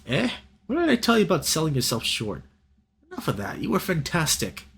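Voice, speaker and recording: A man's voice: fairly high pitch, huge pitch swings, brisk, gravelly, a bit bright, pitched above the performer's own voice, heavily acted. A man voicing a cheeky, joking fox friend in a visual novel, teasing and bouncy. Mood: scolding encouragement.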